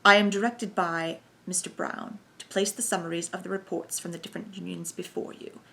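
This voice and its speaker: A woman reading a clear-voiced, brisk German plotter. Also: sits high, level tone, sharp tone, full character voice. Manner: brisk and businesslike. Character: confident German man, conspiracy organizer.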